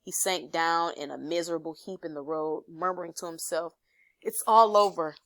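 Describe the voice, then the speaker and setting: A woman reading a children's story aloud as expressive scripted narration. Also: low voice, fairly quick, dry recording. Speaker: storybook reader.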